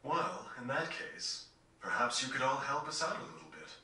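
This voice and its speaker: A man playing a polite, well-spoken, thoughtful gentleman in a video game, measured and analytical. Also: medium pitch, expressive. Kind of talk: video game cutscene voice acting. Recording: very echoey, slightly distant, faint noise.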